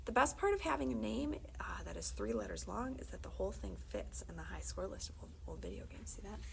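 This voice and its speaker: Expressive woman reading a kids' story, slightly crackly voice. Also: medium pitch, fast talker, gravelly. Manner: conversational, expressive, dropping into hushed confiding tones. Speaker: librarian reading aloud to children. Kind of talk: animated read-aloud of a children's book with brief asides.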